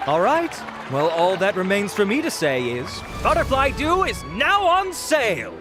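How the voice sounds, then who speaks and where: A man voicing a suave, vain researcher in a game, pitching grandly with theatrical self-assurance. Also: wildly swooping pitch, normal speed, over music, a crowd in the background. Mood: confident. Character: suave, vain, handsome male researcher and salesman. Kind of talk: dramatic product pitch in a game.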